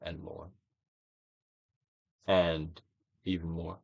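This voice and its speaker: Male narrator, low gravelly voice, theatrical and deliberate reading of self-help instruction. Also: level tone, dry recording, muffled recording. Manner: theatrical, deliberate actorly delivery with emphatic swells and hushed drops. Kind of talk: theatrical dramatic reading, guided-meditation instruction.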